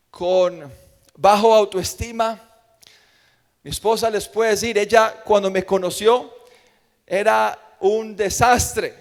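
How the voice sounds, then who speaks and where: A man with a Colombian accent preaching an evangelical sermon in an emphatic manner, repeating phrases for effect. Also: sits high. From Colombia, grew up in Medellín. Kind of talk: evangelical sermon with personal testimony. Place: large church room with long reverb. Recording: long hall reverb.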